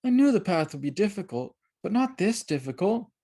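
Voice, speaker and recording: Narrator doing an anxious, high-pitched young boy. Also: big pitch swings, brisk, warm tone. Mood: terrified. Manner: anxious, plaintive. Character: young boy, timid, worried storybook pilgrim.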